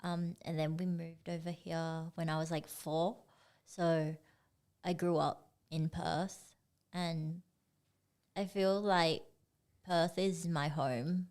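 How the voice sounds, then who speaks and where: Slow, low-voiced young woman. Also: smooth. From Singapore. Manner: relaxed, many fillers like "like" and "um". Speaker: guest artist. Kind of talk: casual interview chat.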